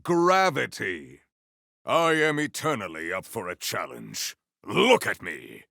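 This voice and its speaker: An actor playing a proud, formal sci-fi warrior in a video game, speaking in a grandiose, deliberate and earnest way. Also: male voice, lowish voice, wildly swooping pitch, vocal fry, bright, forceful tone, no room sound, studio quality, full character voice. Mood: grand, defiant. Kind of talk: video game character voice lines.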